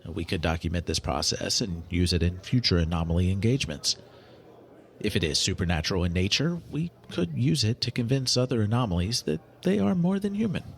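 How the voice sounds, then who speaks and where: An actor playing a clinical, curious non-human researcher in an audio drama, formal and precise, fascinated by humans in a detached way. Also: male voice, on the low side, expressive, a little fast, vocal fry. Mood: eager, scheming.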